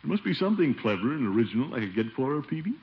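Blustery, swooping middle-aged American bachelor. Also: male voice, mid-range voice, big pitch swings, very fast. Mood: exasperated. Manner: blustery, exclamatory, theatrical. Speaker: lead comic actor. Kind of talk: 1940s-50s radio sitcom acting. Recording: dull, hissy old radio recording.

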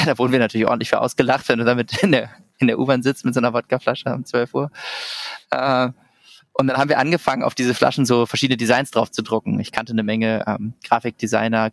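A man's voice: medium pitch, no room sound. A man in his thirties from Germany, talking casually as a podcast guest, with many fillers and restarts. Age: 30s. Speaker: podcast guest, company founder. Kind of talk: casual interview talk.

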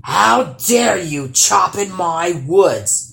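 A woman's voice: lowish voice, expressive, creaky, a bit bright, exaggerated voice. Woman putting on a huge bellowing storybook troll. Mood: menacing. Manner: roaring, bellowing, drawn-out delivery. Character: huge, hungry, one-eyed male troll, gruff and blustering. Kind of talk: children's storybook character voice.

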